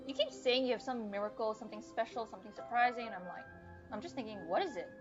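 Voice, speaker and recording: A woman's voice: fairly high pitch, fast talker. Playful woman streamer, dull lo-fi mic. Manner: playful, slangy, talks back to game characters. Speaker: game streamer. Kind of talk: casual live game stream chat. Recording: muffled lo-fi mic, game music behind. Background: game music.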